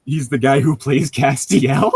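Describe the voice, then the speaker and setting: Man, mid-range voice, casual podcast chat, rambling storyteller, excitable, profane, quick to laugh. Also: medium pitch, gravelly, breathy edge, dry recording.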